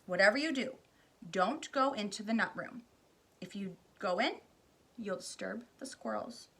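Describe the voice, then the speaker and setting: A woman voicing an eccentric, excited man who owns a candy factory, calling out in a high, loud voice. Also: unhurried, heavily acted. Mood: gleeful.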